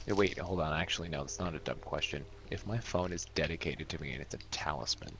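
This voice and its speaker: Gravelly low-voiced man, blunt gamer. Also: fast, a bit bright. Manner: blunt, sweary. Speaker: tabletop game player. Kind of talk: casual game chat.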